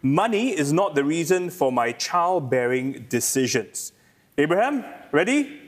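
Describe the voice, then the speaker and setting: A man's voice: fairly high pitch, big pitch swings, a little slow. A man presenting a live segment as a roving host. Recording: clearly noisy background.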